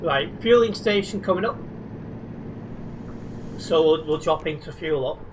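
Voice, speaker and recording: Chatty high-voiced man live-streaming. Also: expressive, measured pace, background noise. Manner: chatty, reads out chat, thanks viewers. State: sleep deprived. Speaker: game streamer. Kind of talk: casual live-stream chat while driving.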